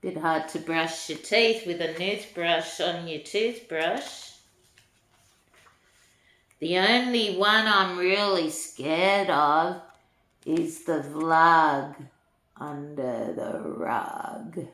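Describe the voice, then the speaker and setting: An older woman, a grandmother, reads a rhyming children's storybook aloud in an unhurried lilt, chatting to young listeners in asides. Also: fairly low pitch, fairly bright tone. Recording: echoey room.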